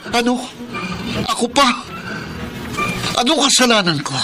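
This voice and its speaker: A man's voice: extremely high pitch, sing-song, a little slow, exaggerated voice. A man playing a proud, bitter, hurt husband in an anguished Filipino radio drama style. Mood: angry, wounded. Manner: melodramatic, anguished delivery. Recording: loud music under the voice.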